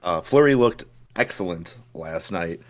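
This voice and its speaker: A young man co-hosting a podcast, his voice hoarse, talking in a relaxed, chatty way with lots of fillers and restarts. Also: sits low, a little slow. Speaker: podcast co-host. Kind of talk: casual chat.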